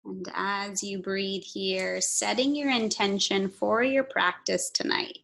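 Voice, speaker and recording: A woman leads a guided yoga class as its instructor. She speaks very slowly in a mid-pitched voice with a noticeable creak. Her cueing is measured and soothing, marked by audible breathing. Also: steady tone, a bit bright, poor audio.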